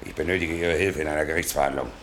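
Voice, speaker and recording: Bullying sea captain, very deep voice, sarcastic, noise behind. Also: male voice, fairly even intonation, very fast, fairly bright tone. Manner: sarcastic, commanding. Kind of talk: 1970s radio play acting.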